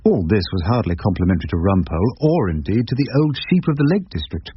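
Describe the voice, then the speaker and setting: An actor playing an ageing English criminal barrister in a radio drama, wry, literate and measured in dialogue and narration. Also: male voice, lowish voice, sing-song. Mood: wry, reflective. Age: older. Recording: muffled, noisy lo-fi radio recording.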